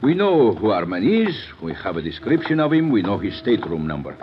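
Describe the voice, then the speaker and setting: A courteous police lieutenant in a vintage radio drama, low-voiced. His phrasing is formal and deliberate, with lively intonation, on a muffled, noisy recording. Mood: courteous, satisfied. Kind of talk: old-time radio drama acting.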